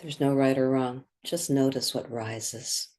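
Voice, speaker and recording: This is a guided meditation voice, sitting at a middling pitch. The delivery is slow and measured, with long pauses. It stays soothing and contemplative, gently leading the listener along. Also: steady tone.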